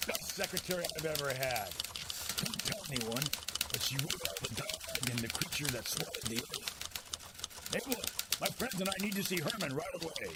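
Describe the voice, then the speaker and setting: A man's voice: fairly high pitch, animated, moderate pace, close to an everyday voice. A man playing a brash, cheerful film director and adventurer who talks in breezy old-time slang. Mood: breezy, boastful. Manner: breezy 1920s American slang. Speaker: voice actor in an audio drama. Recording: loud background noise.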